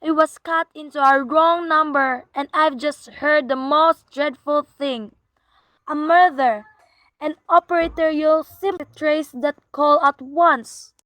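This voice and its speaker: A woman's voice: sits high, fairly even intonation, takes it slowly, fairly bright tone, no room sound, low-quality recording. A woman playing a frail, anxious, imperious wife alone at home in a radio thriller, fretful and melodramatic. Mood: shaken, urgent. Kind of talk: old-time radio thriller acting.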